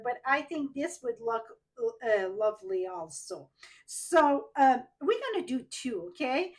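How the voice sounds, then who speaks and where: A woman with a French Canadian accent, whose first language is French, chatting her way through a live card-making tutorial and asking viewers questions as she works. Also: high voice. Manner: chatty, thinks aloud, asks viewers directly.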